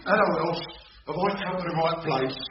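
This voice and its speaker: Actor as a dim heavy, roomy sound. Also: male voice, on the high side, distant mic, a loud crowd, lightly acted. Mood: dim, friendly. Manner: slow-witted, boastful. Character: dim, beefy male ex-convict bodyguard. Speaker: actor in a sitcom. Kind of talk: 1980s radio sitcom acting. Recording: dull, noisy, roomy, off-mic radio recording.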